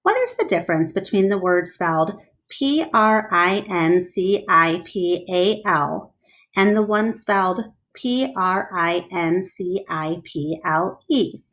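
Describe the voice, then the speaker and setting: A woman's voice: lowish voice, expressive, slow, a bit bright. A woman reading a storybook, voicing a young schoolgirl with a questioning, exasperated manner. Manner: groaning, questioning.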